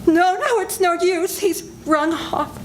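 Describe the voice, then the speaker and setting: An older woman gives voice to a hunted woman in an old-fashioned radio mystery. The voice is strikingly high and unhurried yet breathless with panic. A clear room noise runs under it. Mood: frightened. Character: terrified woman targeted by a killer. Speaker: stage reader in a live radio play. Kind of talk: 1940s radio drama reading. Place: senior center event room.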